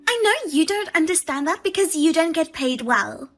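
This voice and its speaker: Lady putting on a high shrill sister-in-law, bossy and mocking, soft music under it. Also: expressive, normal speed. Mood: indignant. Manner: scornful, loud and accusing. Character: shrill, demanding female sister-in-law, a mother.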